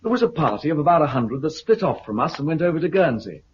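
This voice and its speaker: Confident British survivor-colony recruiter, animated delivery, muffled, hissy 1950s radio sound. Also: male voice, a little high, quick pace. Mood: persuasive. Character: brisk, confident male recruiter for an island survivor community.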